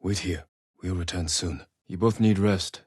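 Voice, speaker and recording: A stern, honorable young samurai warrior from a dramatic video game. His voice is deep and husky, close and dry. He speaks in terse, measured lines with restrained, weary resolve. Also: a little mellow, no room sound. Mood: grave, resolute. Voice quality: low, husky voice.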